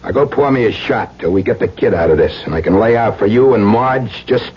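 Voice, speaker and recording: An actor playing a cold, taunting criminal on the run, talking tough, domineering slang in an old-time radio drama. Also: male voice, fairly low pitch, animated, a little fast, husky. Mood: menacing. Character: menacing armed fugitive criminal, cold and taunting. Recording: muffled radio recording with hum and noise.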